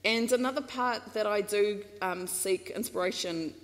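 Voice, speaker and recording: A woman's voice: medium pitch, a little slow. Woman, New Zealand accent, casual chatty talk, lots of ums and restarts, mid-range voice, long hall reverb. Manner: chatty and warm, laughs often, many fillers and restarts. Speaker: speaker giving a talk. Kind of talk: casual public talk with a slideshow. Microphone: microphone on a stand.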